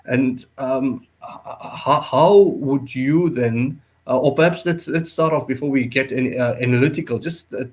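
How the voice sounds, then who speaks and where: A male interview host leads the conversation with a mid-pitched voice. He pauses often, stammering and leaning on fillers as he frames his questions. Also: poor audio. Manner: hesitant, lots of fillers. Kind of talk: interview moderation.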